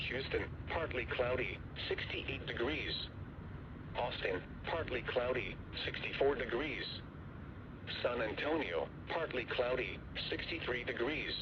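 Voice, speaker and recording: Synthetic male weather radio voice, noisy and dull. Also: medium pitch. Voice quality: synthetic text-to-speech voice. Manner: formal, impersonal, segmented delivery. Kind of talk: automated weather forecast reading.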